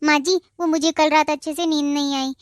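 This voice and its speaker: Woman doing a sweet polite young bride, high soft voice, dry studio sound. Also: steady tone, fairly quick, no echo, natural voice. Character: gentle young newlywed daughter-in-law. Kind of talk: Hindi cartoon dubbing.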